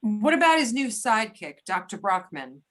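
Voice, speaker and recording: A woman's voice: mid-range voice, very animated, normal speed, fairly bright tone. A woman playing a polite, inquisitive investigator in an amateur readers theater. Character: polite female investigator interviewing witnesses. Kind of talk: amateur readers theater reading over videoconference.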